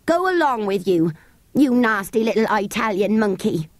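A woman's voice: medium pitch, sing-song, normal speed, dry recording, pitched above the performer's own voice, natural voice. A woman voicing a stern, indignant nursemaid who scolds emphatically in a storybook reading. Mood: scornful. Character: scolding, scornful English nursemaid, sharp and indignant.

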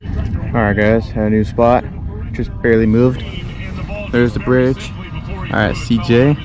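A man's voice: mid-range voice, slow. A young man casually narrating his fishing trip to viewers from a small boat on open water, filmed on a mounted action camera. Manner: excited, chatty, talks to viewers. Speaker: fishing vlogger. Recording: loud wind and water noise. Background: wind and boat noise.

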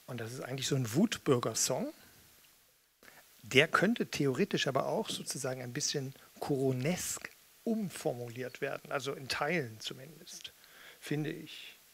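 A man's voice: mid-range voice, animated, moderate pace, vocal fry, a bit bright, husky. A man in his fifties chatting casually between songs in a dry, musing, rambling way, close to a stage microphone. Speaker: spoken-word performer and frontman. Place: small theatre with red seats, indoors. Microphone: studio mic with pop filter on stand, close.

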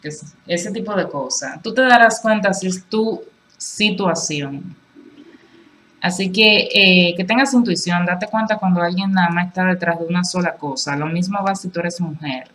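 A woman with a fairly low voice gives a relaxed, chatty tarot reading at a table. She talks in a reassuring way and leans on filler phrases. A faint room hum sits behind her. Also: moderate pace. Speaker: tarot reader. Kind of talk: casual tarot reading to camera. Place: indoors at a table with cards on a cloth.

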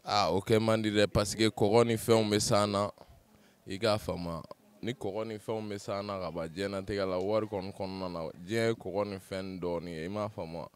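A middle-aged man with a Malian accent, calmly explaining his view to a street interviewer outdoors. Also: on the low side, moderate pace, clear, resonant tone. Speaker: passer-by. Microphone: handheld held by interviewer.

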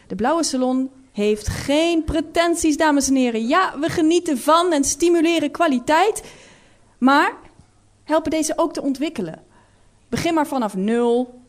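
Slightly high-voiced woman hosting live. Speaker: host of a cultural salon. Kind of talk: live stage presenting.

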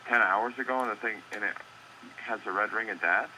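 A man's voice: on the low side, brisk, pushed and bright. A man working as an electronics store employee, answering a customer over an internet phone call. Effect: phone call over computer speaker. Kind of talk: customer service phone talk. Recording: harsh, slightly noisy internet phone line.

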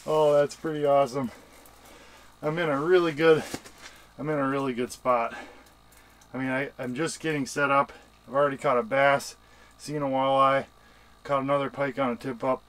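A man vlogging his ice fishing trip in a shack on a frozen lake, excited and chatty, talking to the fish he sees on camera. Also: mid-range voice, normal speed, long pauses. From Wisconsin. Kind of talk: casual outdoor fishing commentary.

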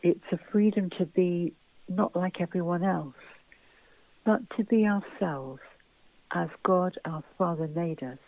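Phone-like narrow sound, woman preacher, slow delivery, devotional sermon, dry acoustic. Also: fairly low pitch, no echo.

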